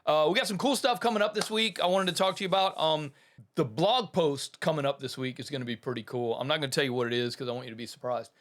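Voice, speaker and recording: Chatty man, slightly high voice. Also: fast talker, a bit bright. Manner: rambling and chatty, many fillers and restarts, keeps saying "man". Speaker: audio production tutor and channel host. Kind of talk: casual talk to viewers, then reading a short story.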